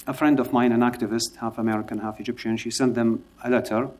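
An Iraqi-American man speaks at a council meeting. He pauses often and fills gaps with uh as he makes his case earnestly. His voice sits in a middle pitch. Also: fairly even intonation, a little mellow. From Iraq. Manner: halting, many filler uhs, earnest. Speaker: public testimony speaker. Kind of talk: public testimony at a city council. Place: council chamber.

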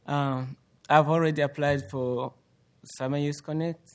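A man's voice: on the high side, flat delivery, measured pace, smooth. A teenage young man from Congo with a Congolese accent, a student speaking hesitantly in public. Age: teens. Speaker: high school student giving testimony. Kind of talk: public speech.

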